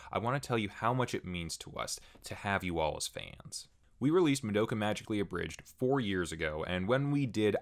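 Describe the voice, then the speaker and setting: Animated man with a lowish voice. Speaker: channel host and video creator. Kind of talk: pre-recorded channel update, casual scripted address to viewers.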